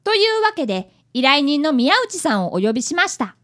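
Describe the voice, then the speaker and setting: A woman's voice: sits high, big pitch swings, a little fast, fairly bright tone. A woman playing a polite, earnest fifth-grade boy who is an apprentice detective in a radio drama. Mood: curious. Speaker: cast member of a community radio show. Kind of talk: radio drama dialogue and narration.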